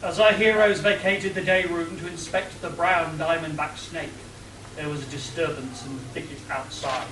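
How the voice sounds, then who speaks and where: A man narrating an amateur radio play in a steady, plain storytelling manner. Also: a little high, normal speed. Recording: roomy, distant, audible hiss.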